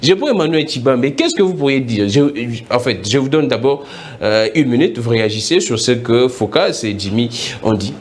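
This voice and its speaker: A man's voice: medium pitch, very animated, moderate pace, few pauses. A man moderating a televised political debate, cutting in to steer the speakers. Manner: cuts in often to steer the speakers.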